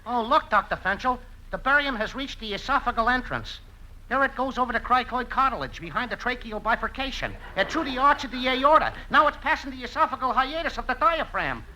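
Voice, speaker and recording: Very high-voiced, excitable doctor. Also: male voice, fairly quick, exaggerated voice. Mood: clinical excitement. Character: clinical male doctor turning excitable race caller. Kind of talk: 1950s radio comedy acting. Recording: slightly noisy 1950s radio recording.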